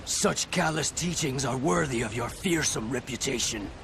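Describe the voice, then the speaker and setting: A harsh hunting captain; gravelly, lively, fairly high. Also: male voice, moderate pace, noisy background. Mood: cold. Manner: barking, commanding. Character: harsh male soldier captain hunting a noble. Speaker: commander of pursuers.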